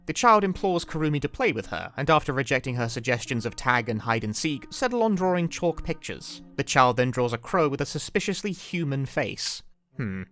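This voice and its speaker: A man's voice: medium pitch, sing-song, brisk, a bit bright. A man narrating a scripted video essay as its host, with wry, dry humor and casual asides.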